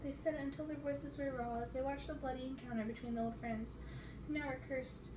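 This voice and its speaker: A young woman narrates a storybook in an ASMR reading, with a fairly high voice. She is some way from the microphone in a roomy bedroom, with clear background noise. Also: fairly even intonation, fairly quick. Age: young adult. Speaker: reader on an ASMR channel. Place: bedroom, indoors. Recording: roomy, noisy, distant microphone.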